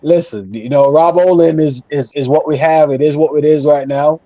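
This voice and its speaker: A man's voice: on the high side, moderate pace, dark tone, no room sound, lo-fi sound. A man talking casually and emphatically as an opinionated football fan on a panel show, repeating himself for emphasis. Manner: emphatic, repetitive, conversational.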